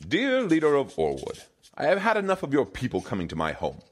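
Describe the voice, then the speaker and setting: A man's voice: medium pitch, huge pitch swings, fairly quick, pitched above the performer's own voice. A man playing a pompous, petulant, foolish king in a comedic fantasy audio drama, blustering with theatrical indignation. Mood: indignant, haughty.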